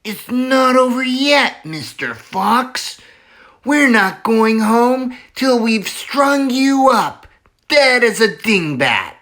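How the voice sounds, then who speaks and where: A man reading aloud and voicing a cruel, scheming male farmer who taunts in high-pitched shouts. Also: lively intonation, takes it slowly, exaggerated voice. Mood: menacing.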